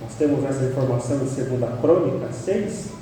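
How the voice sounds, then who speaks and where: A man's voice: medium pitch, brisk, distant mic, a little hiss or hum. Man preaching in an echoey church hall. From Paraná, Brazil. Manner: emphatic, builds to sharp peaks on key words. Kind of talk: expository sermon. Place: church sanctuary.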